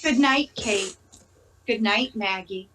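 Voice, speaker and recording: A woman playing a calm, protective mother in an amateur stage play reading, gentle and deliberate. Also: on the high side. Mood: reassuring. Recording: noisy, distant home microphone.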